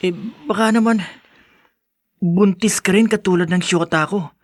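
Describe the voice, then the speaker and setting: Easygoing young Filipino brother. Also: male voice, high-pitched, a little slow. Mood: concerned. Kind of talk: Filipino podcast radio drama acting.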